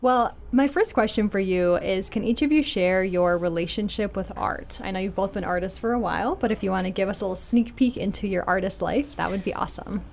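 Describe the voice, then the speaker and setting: A woman's voice: mid-range voice, a little fast, gravelly, hardly any pauses, darkish tone, slight background noise. A woman hosting a podcast, reading a scripted intro and asking guests questions in an upbeat, encouraging way. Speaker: podcast host.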